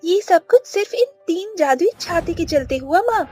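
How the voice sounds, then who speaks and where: Woman playing an eager high-voiced village child. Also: animated, normal speed, a little mellow. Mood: earnest. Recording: faint music behind the voice.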